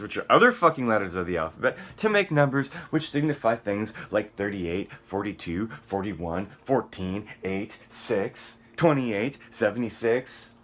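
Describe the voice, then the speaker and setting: A man's voice: on the high side, bright, forceful tone. A man on a rambling solo vlog rant, profane and combative, swinging between muttering and outbursts. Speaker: vlogger.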